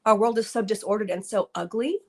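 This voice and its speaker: A woman's voice: mid-range voice, brisk, darkish tone. Earnest woman author full of restarts. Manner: earnest, many fillers and restarts. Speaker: author guest. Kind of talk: conversational interview.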